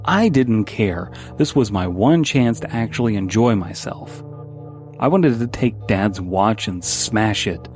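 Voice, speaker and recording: A man with a fairly low voice narrates a creepy story in the first person. It is a scripted horror reading, with music playing faintly behind him. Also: lively intonation, measured pace, darkish tone. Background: background music.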